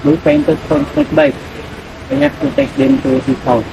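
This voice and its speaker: A man's voice: a little high, very animated, normal speed, vocal fry. A man playing a helpful young prince in an amateur student folktale drama. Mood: concerned. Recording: noisy location sound.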